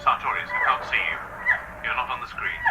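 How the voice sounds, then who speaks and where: An actor playing an anxious, defensive male scientist in a radio drama, with a hurried manner. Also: medium pitch, huge pitch swings, very noisy. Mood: alarmed.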